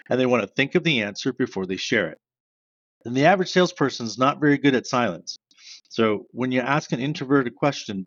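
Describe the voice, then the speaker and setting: A man from Canada answers interview questions as a sales trainer, working through examples one by one. His voice sits at a middle pitch. It is recorded close and dry, with a slightly muffled, lo-fi tone. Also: no room sound. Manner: explains step by step with examples, self-described loud fast talker. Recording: dry, slightly dull sound.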